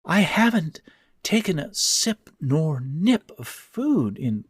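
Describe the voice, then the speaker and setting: A man's voice: sing-song, slow, slightly rough, no echo, clean studio sound, exaggerated voice. A man voicing a monstrous spider-woman who poses as a sweet, coaxing captive with genteel speech, in a horror audio story. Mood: pleading. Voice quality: high, sweet. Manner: supplicating, coaxing, genteel old-fashioned speech. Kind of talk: horror audio fiction reading.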